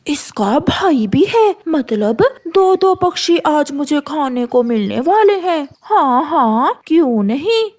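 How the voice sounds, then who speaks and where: A woman's voice: high voice, sing-song, slow, few pauses, a little mellow, full character voice. A woman voicing a big, sly, hungry female eagle in a children's cartoon, coaxing and crafty with false kindness. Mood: scheming, greedy.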